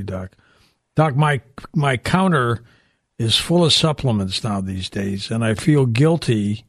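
A man's voice: medium pitch, no room sound. Male talk radio host, mid-range voice, conversational interviewer, very dry acoustic.

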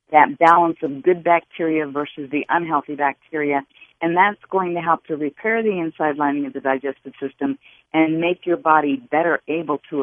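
A woman's voice: low voice, no echo. Woman health expert on a phone line. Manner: explanatory, steady and instructive. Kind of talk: call-in radio advice.

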